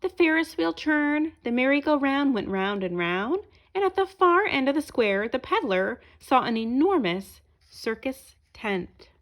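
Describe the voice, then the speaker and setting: A woman narrates a children's picture book in a high voice. Her delivery is highly animated and swings widely in pitch, with deliberate, expressive phrasing meant for young listeners. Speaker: storyteller reading a picture book aloud. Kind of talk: children's read-aloud narration.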